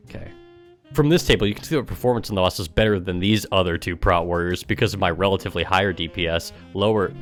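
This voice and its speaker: A man doing casual, mocking livestream commentary, sometimes reading aloud in a sarcastic way. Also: on the low side. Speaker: streamer and gamer.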